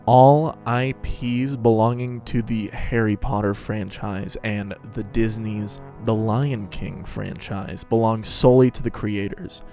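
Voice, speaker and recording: A man's voice: fairly low pitch, steady tone, slow pace, hardly any pauses, mellow, faint music behind. A man hosting and narrating a dramatic reading of a fan fiction story.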